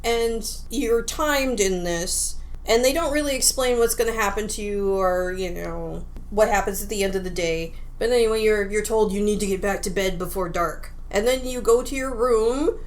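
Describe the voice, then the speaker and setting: A woman's voice: mid-range voice, moderate pace, few pauses. A woman co-hosting a podcast, talking casually as she reviews a game. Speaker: podcast co-host.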